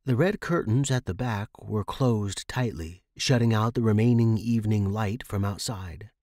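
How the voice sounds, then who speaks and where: A man's voice: fairly low pitch, moderate pace, a little mellow, no room sound. A man narrating a scripted story for a fiction podcast, recorded close in a dry space.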